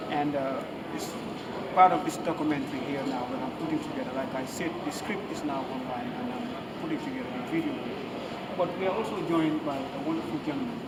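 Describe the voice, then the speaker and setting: A man hosting an on-location interview, speaking haltingly with many fillers and a habit of repeating "now". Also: mid-range voice. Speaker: host and interviewer. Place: outdoors at a shopping center. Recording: roomy, from a distance, loud traffic noise.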